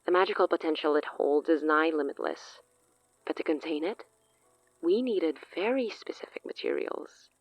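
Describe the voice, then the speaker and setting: An actress playing a grandiose, amused, long-lived sorceress in a horror audio drama, speaking eloquently and theatrically with hushed asides. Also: female voice, sits low, expressive, normal speed, no echo, dull audio. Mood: ominous, awed.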